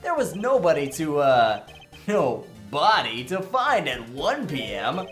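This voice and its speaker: A woman's voice: deep voice, very animated, slow, soft background music. A woman doing playful, sarcastic comedic gameplay commentary while dubbing a game. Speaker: let's play host voicing the rookie lawyer.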